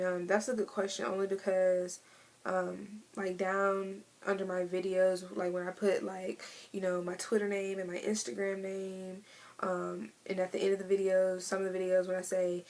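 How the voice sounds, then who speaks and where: A woman of twenty in a casual vlog, chatting in a relaxed way with many fillers as she answers tag questions. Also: medium pitch, vocal fry. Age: 20s. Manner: chatty, laid-back, lots of fillers. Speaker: vlogger. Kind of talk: casual tag video chat.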